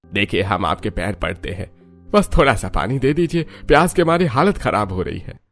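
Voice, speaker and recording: A man's voice: lowish voice, lively intonation, normal speed, vocal fry, fairly bright tone, soft background music. A man voicing a worried village man in a cartoon story, arguing earnestly and insistently. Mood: pleading. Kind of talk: Hindi cartoon story dialogue.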